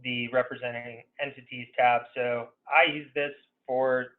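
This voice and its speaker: A man giving an informal software demo as a consultant in a webinar, conversational and hesitant, often saying "you know". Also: medium pitch, level tone, measured pace. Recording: narrow phone-like webinar audio.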